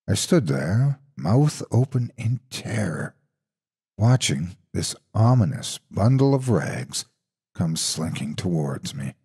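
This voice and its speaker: A man narrating a scary story in a deliberate, suspenseful, dramatic storytelling style. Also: deep voice, mellow, slightly rough.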